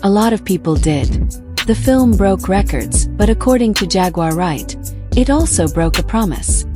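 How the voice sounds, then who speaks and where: A woman's voice: medium pitch, expressive, normal speed. A woman reading scripted narration as the narrator of a video. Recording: music bed behind voice.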